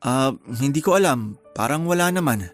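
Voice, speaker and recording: Young husband, played by a man in a radio drama: apologetic, placating, medium pitch. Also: animated, moderate pace, soft background music, close to an everyday voice. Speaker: husband in a radio love story.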